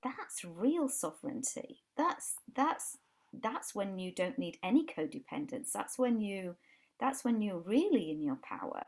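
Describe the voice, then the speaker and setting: Woman, Yorkshire accent, forties, earnest, restarts, gravelly, video call. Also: medium pitch, big pitch swings, measured pace, darkish tone. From England. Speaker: life coach guest. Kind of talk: casual podcast chat. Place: home room with brick wall.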